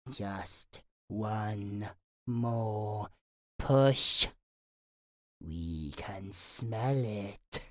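A woman's voice: rumbling low, extremely slow, dry recording, clean studio sound, full character voice. A woman playing a gleeful, sinister demonic entity in a horror audio roleplay, taunting in a singsong, menacing way.

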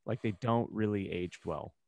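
A man's voice: on the low side, normal speed, vocal fry, darkish tone, no room sound. A man co-hosting a podcast in casual, joking banter. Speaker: podcast co-host. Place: home room, online group call. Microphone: close podcast microphone.

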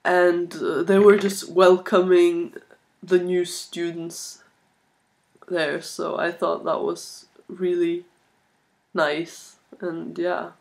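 A woman in her twenties chatting casually to camera in a halting, thoughtful way, recorded on a laptop microphone in a small room. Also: fairly low pitch, level tone, vocal fry, darkish tone. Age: 20s. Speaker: video blogger, art student. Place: small furnished room indoors. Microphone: laptop built-in microphone at arm's length.

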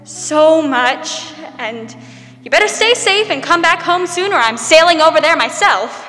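A woman's voice: sits high, lively intonation, measured pace, sharp tone, heavily acted. A teenage girl playing a feisty, loving big sister in a 1940s radio play. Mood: loving, spirited. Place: theater stage. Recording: echoey hall, faint music.

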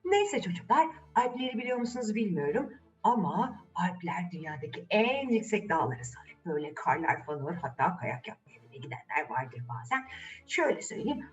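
Lively woman telling children's tales, music behind. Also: mid-range voice, distant mic. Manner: chatty, addresses child listeners with questions. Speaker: storyteller and channel host. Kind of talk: lively children's fairy tale storytelling.

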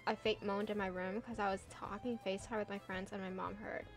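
A woman's voice: mid-range voice, little pitch movement, brisk, over music. A young woman streaming a game, chatting casually and animatedly with her viewers, laughing often and trailing off into filler words. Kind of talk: casual gameplay chat with chat readers.

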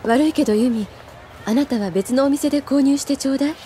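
A composed older schoolgirl, acted by a woman, speaks with polite restraint in a soft, mellow tone. She is cool yet caring, in audio drama style. Some ambience sits behind her. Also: high voice, quick pace, close to an everyday voice. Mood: cool, measured. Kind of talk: Japanese audio drama acting. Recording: noticeable ambient noise behind.

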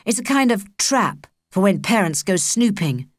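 A woman's voice: medium pitch, sing-song, measured pace, dry recording, studio quality. A British woman voicing a cocky, sardonic young boy with a blunt, offhand manner in a children's audiobook. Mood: pleased.

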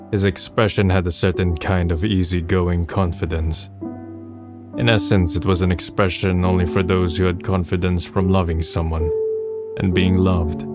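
Man playing a deep, low-key jaded young man. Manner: low-key, matter-of-fact. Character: jaded, self-deprecating young man narrating his story. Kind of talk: amateur manga dub, dialogue and narration.

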